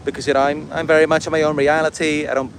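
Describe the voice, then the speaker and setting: A man from Wales with a Welsh accent, talking casually and a little haltingly in a rambling livestream monologue. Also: on the high side, flat delivery, slight background noise. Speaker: livestream host and content creator. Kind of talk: casual livestream monologue, answering viewer comments.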